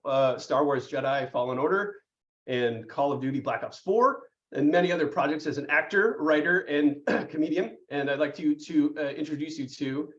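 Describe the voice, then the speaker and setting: A chatty, upbeat man with a fairly high voice hosts a live online class, rambling through fillers and cheerful puns. His sound comes over a video call and is dull and lo-fi. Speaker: host.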